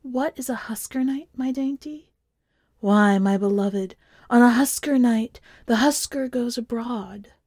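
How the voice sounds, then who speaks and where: A woman voicing an eerie, fond, haunted wife in a story, speaking in a lyrical, breathy incantation that dips toward whispers. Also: a little high, a little slow, darkish tone, no echo, clean studio sound. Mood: eerie, teasing.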